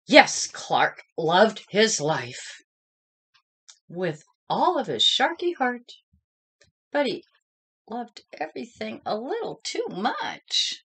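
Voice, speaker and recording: Slow, hugely expressive woman reading a storybook. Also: on the high side, breathy edge. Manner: dramatic, addresses young listeners with questions. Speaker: storybook reader. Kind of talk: expressive children's read-aloud narration.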